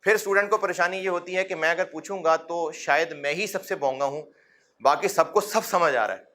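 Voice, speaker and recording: A middle-aged man lecturing a classroom of accounting students in a fatherly, encouraging way, with rhetorical questions and gentle humor. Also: a little high, brisk.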